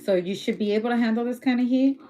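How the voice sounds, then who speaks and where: A woman's voice: on the high side, fast, mellow, low-quality recording. A woman doing a casual tarot reading who is losing her voice, talking bluntly and swearing freely. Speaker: tarot reader.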